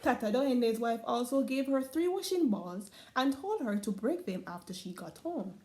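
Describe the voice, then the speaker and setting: Lively Caribbean woman reading a folktale. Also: on the high side, moderate pace, roomy sound. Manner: lively expressive reading with laughs and asides. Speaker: storyteller.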